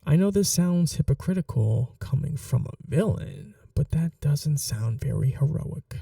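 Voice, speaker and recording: Chatty, vain male supervillain, played by a man, smitten and casually menacing, unhurried and gravelly, ASMR roleplay. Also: on the high side, expressive, mellow, no echo, far from the mic, heavily acted. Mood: attentive, indignant. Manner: flirtatious, casually menacing, musing aloud. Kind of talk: ASMR roleplay monologue to the listener.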